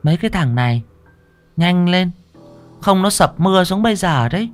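A man voicing a bossy, superstitious old village woman who scolds and shouts urgent orders in a horror story. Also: high voice, animated, normal speed, faint music behind, voice pushed up. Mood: impatient.

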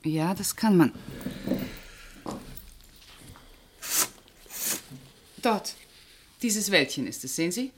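A woman's voice: on the low side, expressive, moderate pace, noisy background, lightly acted. An actress playing a precise, guarded woman witness answering questions in a German radio crime drama, with controlled delivery. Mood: guarded, cooperative.

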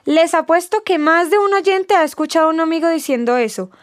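A Colombian-accented woman with a high voice, recorded close and dry. She delivers scripted radio dialogue with sardonic, scolding indignation. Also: a little fast, no echo. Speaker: radio presenter.

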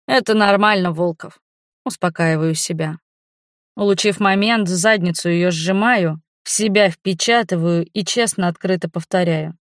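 A woman's voice: mid-range voice, no echo, studio quality. A woman giving a dramatic first-person narration of a novel as an audiobook reader.

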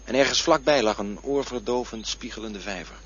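An actor in a radio drama playing a fearful man who looks back on his poor childhood, talking in a poetic, musing and sometimes prickly way, with a husky voice. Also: on the low side, fairly quick. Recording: dull lo-fi radio play recording.

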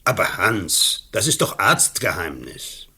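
An older actor with a Swiss German accent playing a kindly, reassuring doctor in a radio play, a little off-mic. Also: male voice, deep voice, wildly swooping pitch, slow, bright, forceful tone, husky, exaggerated voice. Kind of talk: 1980s radio play acting.

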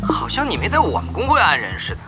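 A man's voice: high-pitched, wildly swooping pitch, fast, over music. An actor playing a taunting, scornful male rival guild leader in an audio drama, needling and combative.

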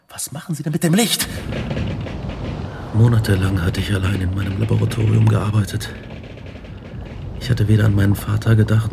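Obsessed young scientist for radio drama: grave, intense, low-pitched, with a creaky edge. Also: male voice, background noise. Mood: tense, urgent. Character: Victor Frankenstein, obsessed young male scientist recounting his horrors. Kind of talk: German radio drama acting and narration.

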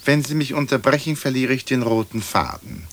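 An actor playing a haughty, verbose old English lord's ghost in a German radio play, with pompous, formal speech. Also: male voice, medium pitch, a little fast, a little hiss or hum, lightly acted. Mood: haughty, narrating.

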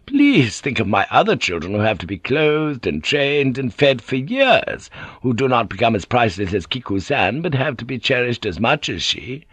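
A man's voice: medium pitch, big pitch swings, moderate pace, a bit bright, husky, dry recording. A man voicing a scheming older woman who runs a teahouse, wailing and overly theatrical.

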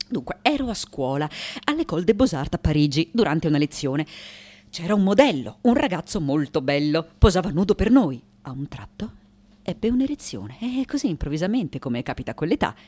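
An elderly woman sculptor confides in a radio monologue over soft music. Her voice sits fairly high and moves very freely in pitch. She is candid and irreverent yet vulnerable, correcting herself, repeating words for emphasis and pausing dramatically. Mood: reminiscing. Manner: confessional, self-correcting, emphatic repetitions, dramatic pauses.

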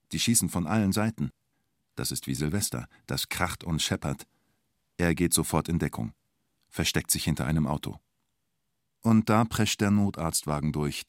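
An actor as the storyteller of a documentary radio drama, narrating in short, punchy, colloquial present-tense phrases with a vivid manner. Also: male voice, sits low, creaky, dry recording, clean studio sound. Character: storyteller recounting a Munich bank siege.

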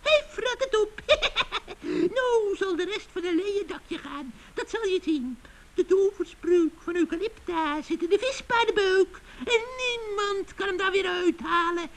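Witch, very high shrill voice, drawn-out slow delivery, cackling, gloating, vintage radio play. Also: animated, low-quality recording. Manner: taunting with cooing nicknames. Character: gloating scheming witch, female, adult. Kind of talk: 1960s children's radio play.

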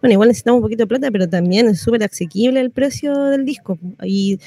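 A Chilean radio host with a relaxed, dark-toned voice talks in an informal, chatty way. The delivery is that of a casual community radio interview, recorded close and dry with very little room sound. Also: medium pitch, fast, gravelly, no room sound.

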